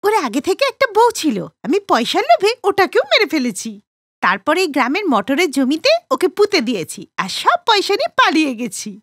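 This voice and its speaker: A woman's voice: very high-pitched, huge pitch swings, brisk, fairly bright tone, no room sound, studio quality, heavily acted. A woman voicing a greedy, scheming village woman in a cartoon story. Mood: boastful confession.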